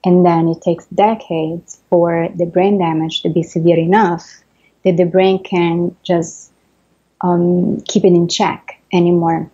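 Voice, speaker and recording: A woman with an Italian accent, a neuroscientist answering interview questions in a measured, explanatory way. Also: fairly low pitch, flat delivery, dark tone, husky, dull audio. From Italy. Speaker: neuroscientist guest.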